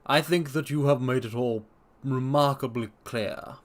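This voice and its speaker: A man voicing a polite, puzzled gentleman doctor in a dramatic story reading, speaking in a measured, deliberate way. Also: medium pitch, lightly acted. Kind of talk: dramatic audiobook-style character reading.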